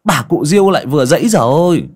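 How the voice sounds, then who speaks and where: Man voicing a cheeky, animated young villager. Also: mid-range voice, moderate pace, voice pushed up. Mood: bewildered.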